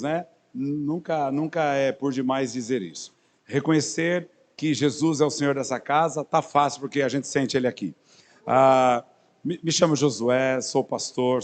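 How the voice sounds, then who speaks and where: A man's voice: mid-range voice, a little mellow. A man in his fifties from São Paulo with a São Paulo accent, a pastor introducing himself to a congregation in a chatty, joking way with many fillers and restarts. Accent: São Paulo Portuguese. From Brazil. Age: 50s. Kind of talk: sermon introduction, speaking to a congregation.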